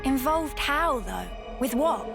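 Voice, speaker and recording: A woman's voice: high-pitched, animated, takes it slowly, gravelly, full character voice. A woman voicing a sassy, outspoken young winged woman in a video game, talking in a blunt, slangy way. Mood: casual. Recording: game cutscene with loud music.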